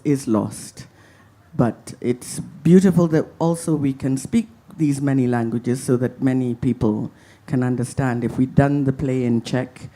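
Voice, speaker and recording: A man moderating a festival Q&A, a playwright himself, speaking in a measured, gently wry way. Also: medium pitch. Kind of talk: reflective panel talk.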